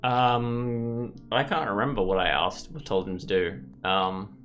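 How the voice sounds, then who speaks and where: A man's voice: on the low side, fairly even intonation, unhurried, a bit bright, soft background music. A man playing through a story game, casually commenting and reading its text aloud, often thinking aloud. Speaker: gaming YouTuber.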